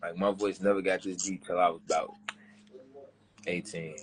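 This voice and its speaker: A young man, a rapper, with a deep voice, talking about recording in a loose, rambling way. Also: little pitch movement, moderate pace, rough recording. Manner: rambling, repeats himself, loose slang. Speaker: rapper being interviewed. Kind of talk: casual interview chat.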